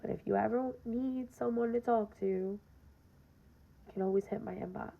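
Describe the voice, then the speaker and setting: Raspy, gravelly woman speaking earnestly. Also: medium pitch, normal speed. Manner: earnest, direct, frequent "um" and "you know". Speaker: podcast host. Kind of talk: casual personal monologue. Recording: dull lo-fi recording.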